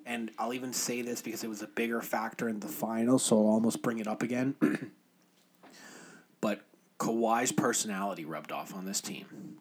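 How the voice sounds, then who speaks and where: A man's voice: fairly low pitch, normal speed, husky. A man co-hosting a sports podcast, casually breaking down games with opinions and slang. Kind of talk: casual sports commentary chat.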